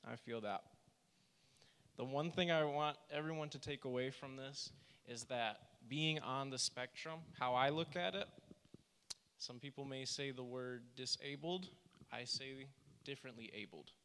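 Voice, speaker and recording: A soft-spoken man leads a church workshop, his voice bright and crisply resonant. He leans into each quoted line with earnest weight. The sound carries the long reverb of a church hall. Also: mid-range voice, unhurried. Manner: earnest, reads slide quotes aloud with emphasis. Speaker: therapist presenting a session.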